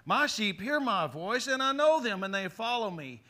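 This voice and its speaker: A middle-aged male pastor preaching a sermon in a church, leading the congregation in call-and-response with animated, forceful delivery. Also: high-pitched, normal speed. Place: church sanctuary. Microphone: handheld or headset mic.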